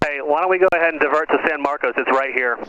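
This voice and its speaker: A man's voice: on the high side, racing. An American man flying a small plane, talking through each step informally and making radio calls over an aviation headset. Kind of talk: cockpit talk and radio calls. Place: inside a small plane cockpit. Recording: dull cockpit intercom with engine noise.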